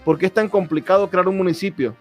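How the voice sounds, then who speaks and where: Higher-voiced man hosting over music. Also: fast. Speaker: radio interview host. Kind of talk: conversational radio interview. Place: radio programme recording.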